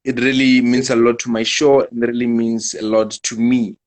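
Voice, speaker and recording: A man hosting a live-stream interview, speaking in a measured way and leaning on repeated set phrases. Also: mid-range voice, level tone. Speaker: host.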